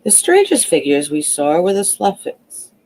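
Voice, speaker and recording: A woman reading a classic novel aloud as an audiobook narrator, expressive but a little halting in places. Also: on the low side. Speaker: audiobook reader.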